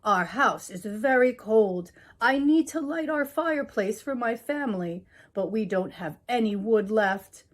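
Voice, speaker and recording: A woman's voice: fairly high pitch, lively intonation, unhurried, few pauses. A woman voicing a worried, cold farmer from a children's storybook, speaking earnestly.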